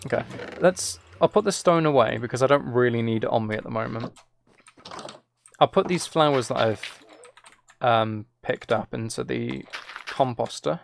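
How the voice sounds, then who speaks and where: A man's voice: mid-range voice, normal speed, creaky, a little hiss or hum. A man chatting casually as he streams a game, rambling along in a self-deprecating way. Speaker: live streamer. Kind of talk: casual gaming livestream chat, commentary.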